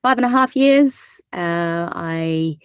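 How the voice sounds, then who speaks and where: A woman from Fiji, a development manager, introducing herself on a video call in a brisk, matter-of-fact way. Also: lowish voice, lively intonation, clear, resonant tone, dry recording.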